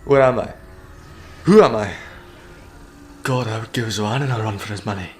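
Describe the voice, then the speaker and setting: A man's voice: fairly low pitch, normal speed, close to an everyday voice. A man playing a befuddled, flippant, eccentric hero in a fan audio drama, scatty and quipping. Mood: dazed.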